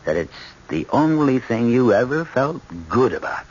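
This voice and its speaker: A man's voice: medium pitch, sing-song, normal speed, darkish tone. An actor playing a troubled middle-aged judge and father, earnest, formal and stammering, in a vintage radio drama. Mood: probing, uneasy. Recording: dull, noisy old radio recording.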